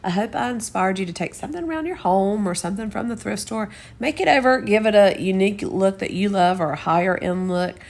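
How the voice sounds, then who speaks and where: A woman with a Southern US accent, slightly hoarse and scratchy from a cold, chatting through craft steps as a channel host. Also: medium pitch, expressive, fairly quick, hardly any pauses, darkish tone. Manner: chatty, homey, explains each step. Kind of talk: casual DIY voice-over.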